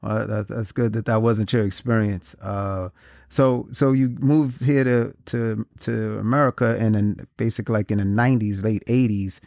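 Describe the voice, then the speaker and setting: Low-voiced male podcast host, dry sound. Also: steady tone, gravelly, darkish tone, no room sound. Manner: halting, with frequent restarts and repeated words. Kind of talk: casual interview.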